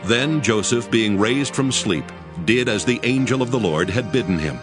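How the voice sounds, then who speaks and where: A man narrating scripture from a script in a solemn, expressive style. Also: mid-range voice, normal speed. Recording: music behind the narration. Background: music.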